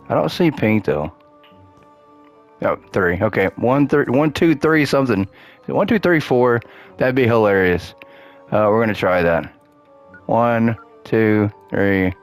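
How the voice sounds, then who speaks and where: Low-voiced man with a cracking voice. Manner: chatty, upbeat, thinks aloud while playing. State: losing his voice. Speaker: gaming channel host. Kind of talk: casual gameplay commentary.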